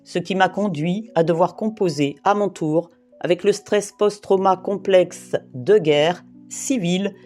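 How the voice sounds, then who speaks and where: A woman from the Paris region, in her late fifties or older, gives a prepared first-person testimony in a grave, deliberate way, piling up short phrases one after another. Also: on the low side, a little mellow, soft background music. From Île-de-France, France. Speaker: interviewee giving testimony.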